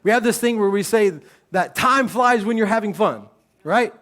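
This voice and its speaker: A man, preaching a sermon on a church stage in a relaxed, joking, conversational way. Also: high-pitched, normal speed. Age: 30s. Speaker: pastor.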